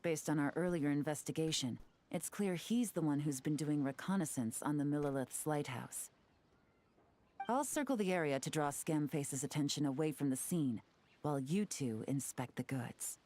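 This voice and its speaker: A woman voicing a calm, shrewd agent in a game, cool and composed with a teasing edge. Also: deep voice, moderate pace, lightly acted. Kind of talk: game voice acting.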